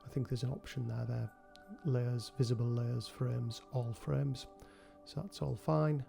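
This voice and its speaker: A man with a cold hosting a relaxed software tutorial, talking through each step with frequent ums. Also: medium pitch, measured pace, gravelly, soft background music. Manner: relaxed, thinking aloud with many ums. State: has a cold. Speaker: game developer tutorial host. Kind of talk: screen-recorded software tutorial.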